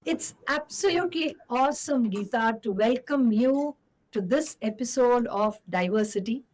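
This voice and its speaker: A woman's voice: a little high, animated, normal speed, darkish tone, low-quality recording. A woman with an Indian English accent hosting a podcast, reading a formal intro and then asking her guest questions in an effusive way. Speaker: podcast host.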